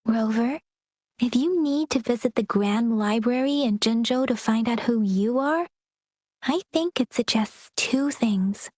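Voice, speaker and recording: An actress playing a gentle, polite young woman guide, soft-spoken and measured, in a game cutscene. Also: on the high side, no echo, studio quality. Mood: calm.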